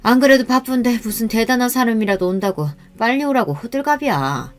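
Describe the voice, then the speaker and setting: A woman voicing a haughty, contemptuous rich older mother who scolds and sneers, over soft background music. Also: fairly high pitch, expressive, a little fast, pitched above the performer's own voice, lightly acted.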